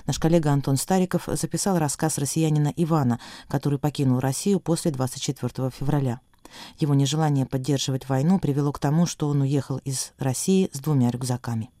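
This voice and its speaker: A man reading a news digest as anchor, in an even, formal newsreader delivery. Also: medium pitch, fast talker, no echo.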